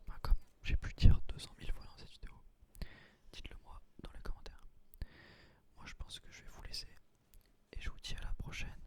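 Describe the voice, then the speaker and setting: A man hosting a gaming video in a hushed, breathy whisper, ASMR style, close on a binaural microphone.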